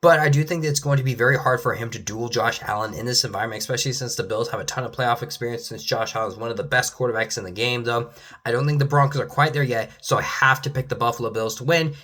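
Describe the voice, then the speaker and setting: A man's voice: medium pitch, fast, a bit bright. A young man giving casual football predictions, rambling with many restarts and ending lines with "though", his voice sometimes giving out. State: losing his voice at times. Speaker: sports commentator.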